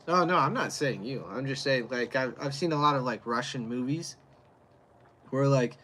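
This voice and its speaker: Guy on a livestream outside, rambly and plain-spoken, mid pitch, faint hum of the outdoors. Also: normal speed, bright, forceful tone. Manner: rambling, answers chat, loose and plain-spoken. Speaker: livestreamer reviewing beer. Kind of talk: casual livestream chat. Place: outdoors in chilly air.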